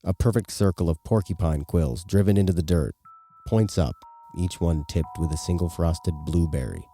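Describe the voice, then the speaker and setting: Male narrator, low-pitched, scripted horror storytelling over clearly audible music. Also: moderate pace, dark tone.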